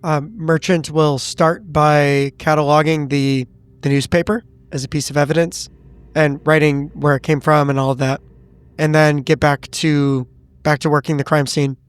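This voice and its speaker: A man improvising in character as a tabletop game player, speaking in a measured, analytical way. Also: on the high side, little pitch movement, clear, resonant tone, no echo. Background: background music.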